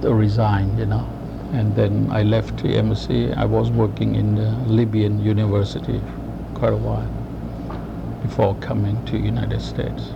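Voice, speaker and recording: A man in his sixties with a Thai accent, answering oral history questions in a halting way, with many fillers and restarts. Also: fairly low pitch, level tone, creaky. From Thailand. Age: 60s. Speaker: interviewee. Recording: muffled noisy tape recording.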